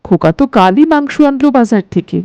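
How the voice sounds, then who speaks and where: A woman's voice: sits high, lively intonation, moderate pace, warm tone, no room sound, muffled recording. A woman voicing a stern, suspicious middle-aged mother-in-law in a cartoon, scolding and commanding. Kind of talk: Bengali cartoon voice acting.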